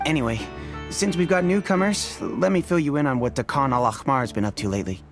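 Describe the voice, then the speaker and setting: A man's voice: mid-range voice, lively intonation, brisk, lightly acted. An actor playing a friendly male mercenary scout who briefs newcomers in a chatty, easy way. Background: guitar music.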